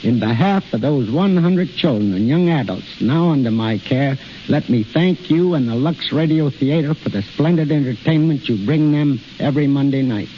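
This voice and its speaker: A man's voice: mid-range voice, expressive, moderate pace. A middle-aged man speaking formally and precisely as a guest in a scripted 1930s radio interview. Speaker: institute head, guest speaker. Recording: hissy, muffled 1930s radio recording.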